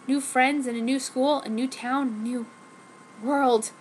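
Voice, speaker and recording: A woman's voice: high voice, fairly even intonation, takes it slowly, a little hiss or hum, rough recording. A woman reading fanfiction aloud as a theatrical, mock-dramatic narration. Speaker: fanfiction reader.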